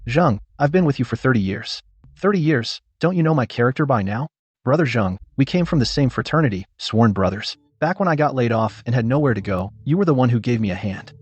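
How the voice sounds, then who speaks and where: A man's voice: medium pitch, lively intonation, brisk, slightly rough, dry recording, dull audio. A man playing a scheming, bullying middle-aged company manager in a melodrama, scornful and blustering. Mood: placating. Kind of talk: dubbed melodrama acting.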